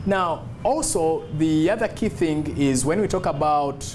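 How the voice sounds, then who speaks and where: A man giving an instructional talk as a public speaking coach, emphatic and deliberate, repeating key words. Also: fairly high pitch, animated, noisy background. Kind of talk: instructional talk to camera on voice modulation.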